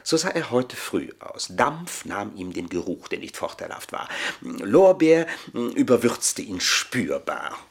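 An actor in a German radio play playing a scheming, gloating manservant with a pompous, mock-deferential, savouring delivery. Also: male voice, lowish voice, sing-song, slow pace, creaky, full character voice.

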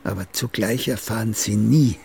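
An actor playing a sly, sardonic old playwright with a gravelly voice and a slow, musing, mocking manner in a radio play. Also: male voice, lowish voice, darkish tone, slight background noise. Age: older.

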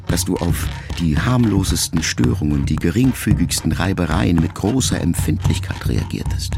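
Deep, gravelly, reflective grown son. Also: male voice, darkish tone, dry recording, studio quality, heavily acted. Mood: sober reflection. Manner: measured, introspective, intimate storytelling. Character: reflective grown son addressing his dying elderly mother. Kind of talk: literary first-person monologue in a radio play. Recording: music under the voice. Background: music.

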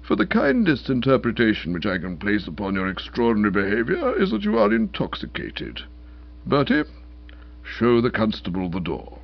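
A man's voice: on the high side, sing-song, a little fast, vocal fry, slightly rough, lo-fi sound, heavily acted. A man voicing a pompous, blustering older British lord and magistrate who speaks in orotund, long-winded sentences. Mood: cold, dignified.

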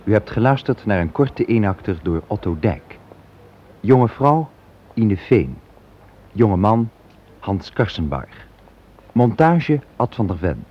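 Male announcer for radio drama credits: formal, lowish voice with animated intonation, read from script. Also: slow pace, pauses often. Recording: dull old radio recording.

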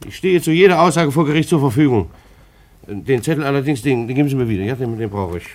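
Actor as an agitated, stammering bailiff in radio drama. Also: male voice, mid-range voice, lively intonation, fast, darkish tone, close to an everyday voice. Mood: insistent, agitated. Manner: stammering, repetitive, pleading. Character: agitated, self-justifying bailiff insisting on his honesty. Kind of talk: German radio drama acting.

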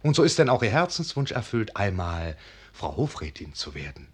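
A man's voice: fairly low pitch, very animated, moderate pace, slightly rough. Lyrical male radio-play narrator. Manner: lyrical, rapturous. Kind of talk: scripted narration in radio drama.

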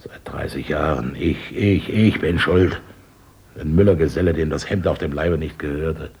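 A deep-voiced resentful old miller. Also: male voice, moderate pace, a little mellow, husky, full character voice. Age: older. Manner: grumbling, hesitant. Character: aging miller, resentful former journeyman.

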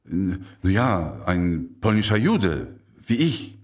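Low-voiced, unruffled, wry doctor. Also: male voice, very animated, slow, recorded from a distance, heavily acted. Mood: hesitant, friendly. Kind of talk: German radio drama acting.

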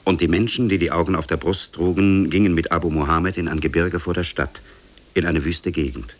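A man with a low, husky voice tells a scripted fairy tale. His delivery is solemn and measured, in the old style of 1950s radio. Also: steady tone. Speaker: narrator.

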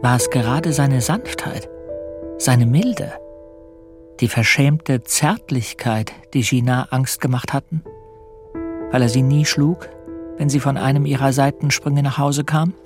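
A man's voice: medium pitch, measured pace, natural voice. Man acting a restrained, brooding husband over music. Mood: anguished brooding. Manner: restrained, polite, subdued. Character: gentle, ashamed, resigned middle-aged husband, brooding inwardly. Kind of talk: German radio drama, introspective narration and dialogue. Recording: music behind the voice.

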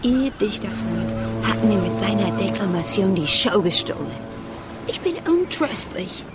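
An actress playing a flirtatious, capricious, mocking celebrity singer in a radio drama. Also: female voice, medium pitch, big pitch swings, measured pace, loud music behind the voice. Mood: affronted, dramatic. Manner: theatrical, mocking. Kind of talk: theatrical radio drama acting.

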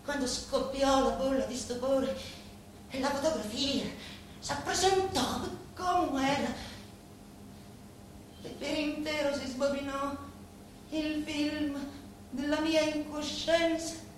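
A woman acting a grieving, vengeful daughter in a theatrical monologue, with a Sicilian accent and halting, incantatory delivery full of repeated words. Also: high voice, fairly even intonation. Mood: dazed, mournful. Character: grieving, vengeful daughter of murdered parents, confiding in a boss. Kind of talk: theatrical dramatic monologue in dialect-laced Italian. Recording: echoey, distant, faintly noisy stage recording.

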